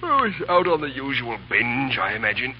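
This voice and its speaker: An actor playing a breezy, teasing army officer with an amused, mocking drawl in a 1930s radio drama. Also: male voice, mid-range voice, big pitch swings, moderate pace, a little hiss or hum, lightly acted. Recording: dull 1930s radio recording.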